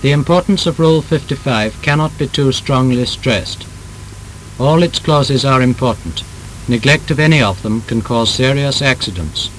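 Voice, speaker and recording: Man, British accent, 1930s instructional narration, formal, emphatic, measured, mid-pitched, slightly noisy old film sound.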